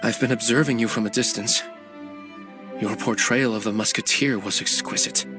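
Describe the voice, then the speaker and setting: An actor playing a brooding, calculating novelist bent on revenge, speaking deliberately and persuasively in a dramatic game cutscene. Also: male voice, on the low side, steady tone, natural voice. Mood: intense, persuasive. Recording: faint music behind the voice.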